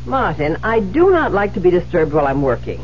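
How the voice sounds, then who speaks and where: An actress playing an imperious, irritable middle-aged widowed novelist in a theatrical, impatient 1970s radio drama style. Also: female voice, on the low side, sing-song, a little fast. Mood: flustered, pleading. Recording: muffled, noisy 1970s radio broadcast with hum.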